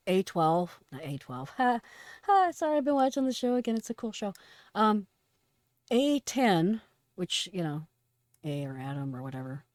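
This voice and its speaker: A woman's voice: medium pitch, darkish tone, no echo, studio quality. A woman giving casual, instructive commentary as a presenter of emergency radio traffic.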